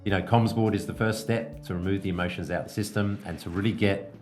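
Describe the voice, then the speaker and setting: A man's voice: low voice, fairly even intonation, fast talker, a little mellow. A man giving an informal, explanatory coaching talk as a podcast host, plain-spoken with hesitant phrasing and casual asides. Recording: music behind the voice.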